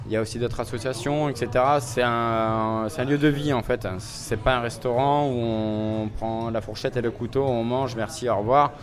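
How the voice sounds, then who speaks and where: A man in his forties, a restaurant owner, talking casually in an interview with a relaxed, hesitant manner and many fillers, into a handheld mic in a small restaurant. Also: mid-range voice, normal speed, few pauses. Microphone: handheld reporter mic. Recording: music playing behind.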